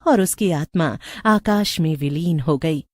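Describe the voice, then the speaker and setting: Casting: female story narrator, slightly low voice, close dry sound, scripted storytelling. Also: unhurried, dry recording.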